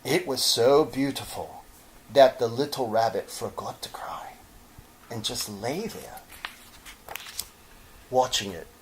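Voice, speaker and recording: A man reading a children's story dramatically and expressively, lingering over short phrases with pauses. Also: mid-range voice, a little hiss or hum. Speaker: storybook narrator, actor.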